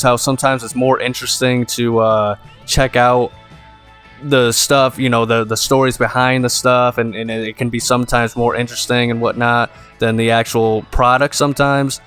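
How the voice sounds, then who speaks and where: A man's voice: mid-range voice, a bit bright, clean tone. A young man giving casual, rambling game commentary as an enthusiastic fan hosting his own video. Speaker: gaming video host.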